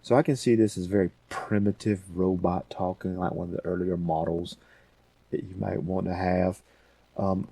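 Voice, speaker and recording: A man hosting a casual software tutorial, talking informally and thinking aloud as he goes. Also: deep voice, husky, dry recording. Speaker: audio editing tutorial host.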